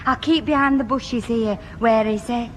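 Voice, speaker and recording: A woman's voice: on the high side, moderate pace, natural voice. A woman playing an eager, forward young woman, gushing and coy in a 1960s radio sitcom. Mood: excited plotting. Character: eager, man-chasing young Northern woman. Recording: old radio recording, faint noise.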